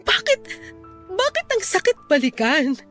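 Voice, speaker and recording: A torn, pleading high-voiced young woman. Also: big pitch swings, unhurried, a bit bright, soft background music, full character voice. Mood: troubled. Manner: pleading, emotional. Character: Celine, torn, distressed young woman between two men. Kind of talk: melodramatic radio drama acting.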